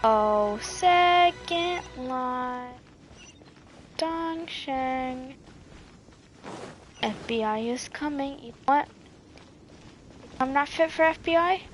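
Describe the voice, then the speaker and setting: A woman's voice: high-pitched, very slow, pauses often, faint music behind. A young woman giving playful, slangy commentary while playing a video game. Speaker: gamer streamer. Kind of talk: casual let's-play commentary.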